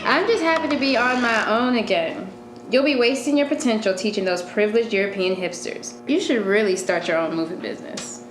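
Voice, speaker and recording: A woman's voice: fairly high pitch, brisk, background noise, lightly acted. A young woman acting in a radio drama as a kind, guarded student with a dry sense of humour. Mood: playful. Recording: echoey room, music behind.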